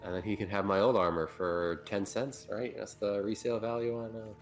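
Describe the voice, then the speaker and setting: Man at a tabletop game, deep voice, blunt and offhand, casual chat. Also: little pitch movement, a little fast, soft background music. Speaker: player.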